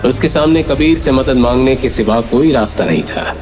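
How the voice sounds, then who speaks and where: A man's voice: mid-range voice, moderate pace, music in the background. A man narrating a scripted audio drama story in a dramatic storytelling style.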